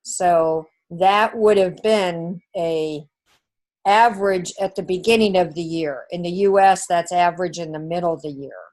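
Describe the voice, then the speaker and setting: Slow, methodical New Zealand woman teacher. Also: fairly low pitch, darkish tone. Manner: slow, methodical, talks through scoring step by step. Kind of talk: online class lecture.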